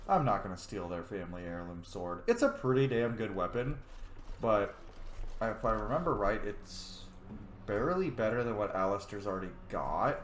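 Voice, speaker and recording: A young man casually commenting on a video game as he plays, muttering to himself with excited bursts. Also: lowish voice, moderate pace, slight background noise. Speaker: gamer. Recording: echoey room.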